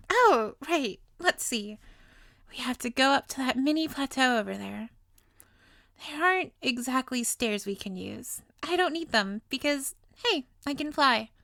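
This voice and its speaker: A woman's voice: high-pitched, a bit bright, no room sound, studio quality, full character voice. A woman playing a sweet, clingy, obsessively lovestruck young witch in an intimate comedic roleplay, with a cutesy, gushing, playful manner. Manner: cutesy, gushing, flustered stammers, playful asides. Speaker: audio roleplay voice actor.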